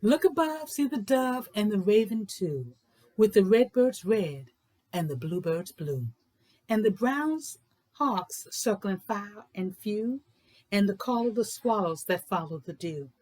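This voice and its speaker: A woman host reading aloud for children with expressive, emphatic phrasing. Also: medium pitch, unhurried. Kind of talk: expressive picture book read-aloud in rhyming verse.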